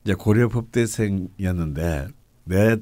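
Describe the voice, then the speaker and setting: A man's voice: sits low, a little slow, dark tone, no room sound. A man explaining a fortune reading in a conversational radio talk, with an explanatory, emphatic manner. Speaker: fortune-telling (myeongri) commentator.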